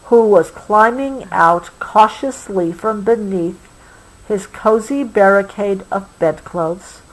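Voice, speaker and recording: A woman reading a children's bedtime story aloud as a parent would, with expressive storybook narration. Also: mid-range voice, slow, rough recording. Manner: expressive, explains words to child.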